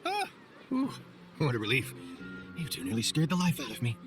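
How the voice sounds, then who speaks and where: A jumpy, self-important male researcher from a video game. He is wordy and flustered, speaking quickly with lively swings in pitch, with music behind him. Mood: relieved, smug. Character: jumpy, self-important lowly scholar, eager to excuse himself. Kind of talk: animated video game voice acting.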